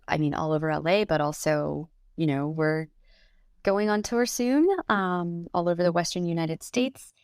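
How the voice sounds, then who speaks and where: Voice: mid-range voice, smooth, dry recording, clean studio sound. A taiko performer and educator introducing their group on a podcast, rambling and full of filler words. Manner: rambling with many fillers and restarts.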